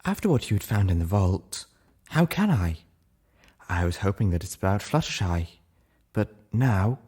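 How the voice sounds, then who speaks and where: A man voicing a meek, anxious female pony in a storybook reading, hesitant and soft. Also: fairly low pitch, big pitch swings, normal speed, breathy edge. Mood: meek, worried.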